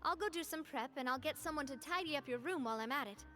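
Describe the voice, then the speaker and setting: A playful, confident young leader. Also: female voice, sits high, fast talker, bright, forceful tone. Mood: brisk. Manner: easygoing, teasing, laughs often. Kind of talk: video game voice acting. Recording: game audio with faint music underneath.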